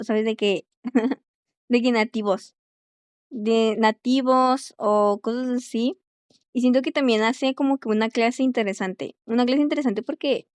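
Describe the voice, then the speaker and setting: Smooth-voiced young woman, chatty. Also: a little high, darkish tone, dry recording. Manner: chatty, quick, many fillers like este. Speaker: English teacher and language student. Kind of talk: casual conversation.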